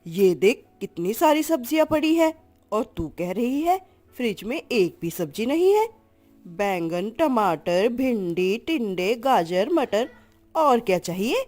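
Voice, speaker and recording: A grumpy middle-aged mother-in-law with a lowered voice, scolding and exasperated, as a woman puts her on. She speaks slowly and with lively swings of pitch, over soft background music.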